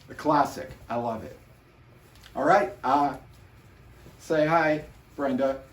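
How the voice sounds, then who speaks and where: A man's voice: mid-range voice, slow pace. A man presenting a trivia question casually, with short conversational asides. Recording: roomy echo, distant from mic.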